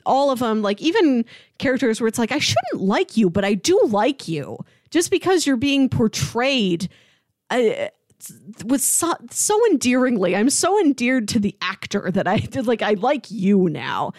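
A woman's voice: a little high, measured pace, no echo, studio quality. A woman co-hosting a casual TV review chat, talking conversationally and peppering her sentences with "like". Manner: animated, conversational, frequent "like". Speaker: podcast co-host.

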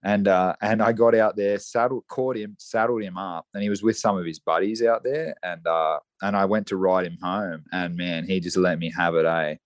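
A man's voice: deep voice, steady tone, moderate pace, few pauses, no room sound, studio quality. A young Australian man chatting casually on a podcast, telling rambling stories full of restarts and fillers. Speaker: guest horseman.

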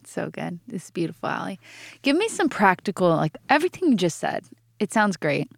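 A woman's voice: fairly low pitch, very animated, fairly quick, gravelly, no room sound. A woman hosting a podcast, asking her guest questions in an eager, upbeat way from a studio. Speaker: podcast host. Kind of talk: casual interview.

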